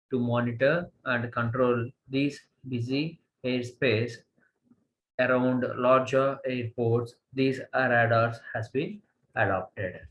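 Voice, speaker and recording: An Indian man delivers a college lecture at a very slow pace, in a medium-pitched voice. He speaks haltingly and often repeats a phrase to stress it. A faint background noise sits under the recording. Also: fairly bright tone, low-quality recording. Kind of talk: slide lecture, reading and explaining.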